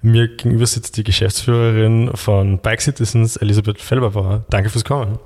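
A man from Graz with an Austrian German accent, hosting a relaxed conversational interview and asking questions with frequent hesitation fillers. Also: lowish voice, a little fast. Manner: friendly, asks with hesitation fillers. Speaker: interviewer, podcast host.

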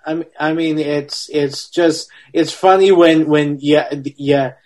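Male podcast panelist, early 40s, voice a touch high, casual movie talk with a halting flow. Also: steady tone, darkish tone. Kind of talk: casual film discussion.

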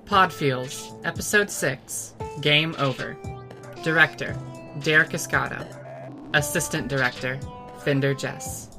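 A woman's voice: low-pitched, a little slow. A woman giving a scripted announcement, reading out credits for an audio drama. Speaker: credits announcer and cast member. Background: music.

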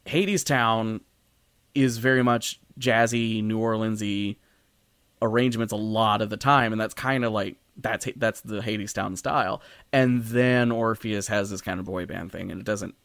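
A man's voice: lowish voice, fairly even intonation, moderate pace, fairly bright tone, smooth, dry recording. A man co-hosting a podcast in casual discussion, rambling, often interrupting himself and swearing freely. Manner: rambling, self-interrupting, profane. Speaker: podcast co-host. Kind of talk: casual discussion of a stage musical.

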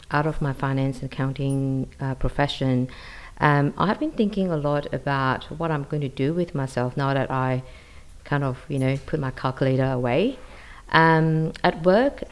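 A woman from Malaysia answering interview questions, hesitant with frequent pauses. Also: deep voice, clear, resonant tone. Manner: hesitant, many ums. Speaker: interview guest.

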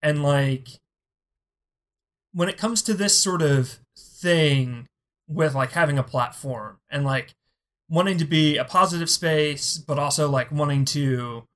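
A person speaking as a guest on a podcast, chatty and casual, filling their talk with "like". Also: male voice, on the high side, measured pace, fairly bright tone. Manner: chatty, lots of "like" fillers. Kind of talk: casual conversation.

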